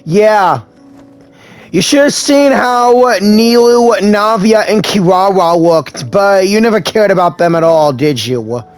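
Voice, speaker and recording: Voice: mid-range voice, expressive, measured pace, no room sound, soft background music, close to an everyday voice. An actor playing an easygoing young traveler in a video game, casual and dryly teasing. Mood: wry. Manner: casual, wry.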